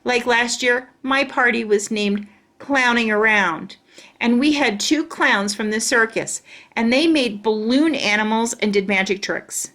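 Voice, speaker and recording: A woman voicing a mean, bragging young schoolboy in a children's storybook, loud and taunting. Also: fairly high pitch, measured pace. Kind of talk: children's storybook read-aloud.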